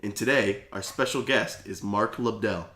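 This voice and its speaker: A teenage boy co-host with a bright, edgy tone and a lowish voice chimes in agreeably, nodding along with short yeahs between his own remarks. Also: normal speed. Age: teens. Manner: agreeable, lots of backchannel yeahs. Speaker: podcast co-host. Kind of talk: casual chat.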